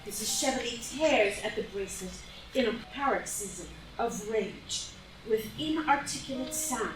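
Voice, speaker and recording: A woman's voice: fairly high pitch, expressive, a little slow, background noise. A woman narrator reading stage directions dramatically, recorded from a distance in a very echoey room. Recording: very echoey room, distant mic, music behind.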